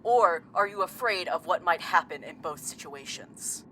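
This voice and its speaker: A woman playing a blunt, sardonic seer in an audio drama, speaking in a dry, deadpan manner. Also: fairly low pitch, normal speed, slight background noise. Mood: blunt, impatient. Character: blunt, sardonic woman who sees visions.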